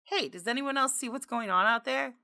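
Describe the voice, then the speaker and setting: A woman's voice: high-pitched, a little fast, creaky, pushed and bright, pitched above the performer's own voice, full character voice. A woman voicing a nervous, flustered young restaurant server in a visual novel game, hesitant in manner. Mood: exasperated. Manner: stammering, hesitant.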